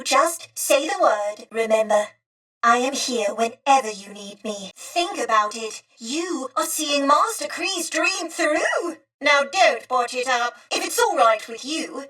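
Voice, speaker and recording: A courteous female droid in a video game, high-pitched and crisply bright. The droid speaks in formal, old-fashioned phrases, prim and a little fretful. The voice sounds slightly distant from the mic. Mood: warm, concerned. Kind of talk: video game character dialogue.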